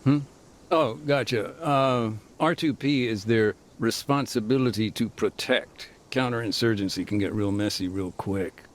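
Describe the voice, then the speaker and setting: An actor playing a middle-aged, war-weary former peacekeeper, speaking plainly with military jargon and dry humor, in a game cutscene. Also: male voice, mid-range voice, unhurried, natural voice. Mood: reflective. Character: war-weary male ex-peacekeeper telling his story. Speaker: interviewee. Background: music.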